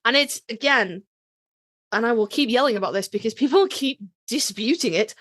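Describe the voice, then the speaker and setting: A woman with a fairly high voice chats casually as a podcast co-host. She is emphatic and teasing and swears freely. The sound is very dry, with no room around it. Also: moderate pace, fairly bright tone, no echo. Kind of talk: casual fan chat about a TV series.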